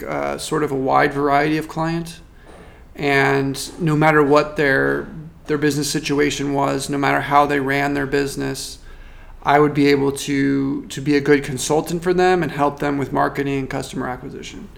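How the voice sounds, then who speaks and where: A man working as a marketing consultant, giving a measured, thoughtful interview answer with frequent restarts. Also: on the high side, flat delivery, gravelly. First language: not French.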